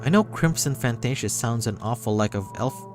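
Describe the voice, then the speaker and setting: A man's voice: mid-range voice, expressive, a little fast, noisy background. A man reading a visual novel aloud as narration on a livestream, sometimes stumbling and rereading. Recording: music behind the voice. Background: music.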